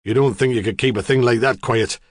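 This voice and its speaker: A man's voice: medium pitch, brisk, dry recording, clean studio sound, lightly acted. A man voicing a squat, blunt older farmer who talks brusquely and impatiently. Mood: gruff.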